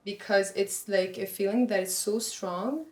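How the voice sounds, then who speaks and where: A woman, an art advisor and podcast guest, speaking thoughtfully and softly, often pausing to search for words. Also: medium pitch, fairly even intonation. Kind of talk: casual podcast conversation. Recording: roomy echoey room sound.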